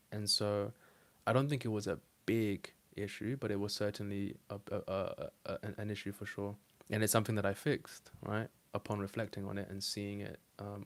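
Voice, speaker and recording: A young man coaching on dating, talking casually to camera and answering viewer comments, loose and rambling with many fillers. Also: lowish voice.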